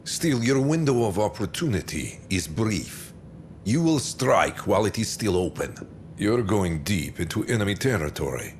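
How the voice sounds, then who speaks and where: Calculating low-voiced villain commander acted by a man. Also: lively intonation, a little hiss or hum, lightly acted. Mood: cool, conspiratorial. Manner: deliberate, theatrical, with weighty pauses. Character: smooth, calculating male enemy commander, menacing and self-assured. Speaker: military commander briefing a general. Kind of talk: scripted video game cutscene briefing.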